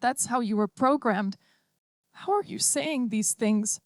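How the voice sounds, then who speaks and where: A woman's voice: on the high side, measured pace, no echo, studio quality, voice pushed up. A woman voicing a grieving mother, strained and on the edge of sobbing. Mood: desperate. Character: grieving mother, desperate and protective.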